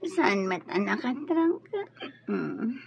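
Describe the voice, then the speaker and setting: A sly, seductive mistress in a radio drama. She drawls slowly and teasingly, her voice swooping wildly up and down, on a muffled, noisy recording. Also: a little high, very animated, gravelly, full character voice. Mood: playful, seductive.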